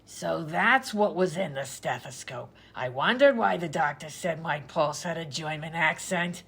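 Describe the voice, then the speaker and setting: A woman plays a sardonic, bossy female character in a radio comedy. The voice is husky and creaky, a bit low, and curt in delivery. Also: expressive, a little slow, fairly bright tone, full character voice. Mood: sardonic, snappy. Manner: curt, dry, snappy. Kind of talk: comedy radio sketch acting.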